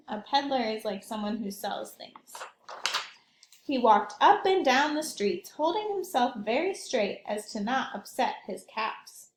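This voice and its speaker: A woman reads a storybook to young children like an early years teacher. Her high voice moves up and down expressively at an unhurried pace. The room adds a noticeable echo. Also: a little hiss or hum. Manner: animated, asks young listeners questions.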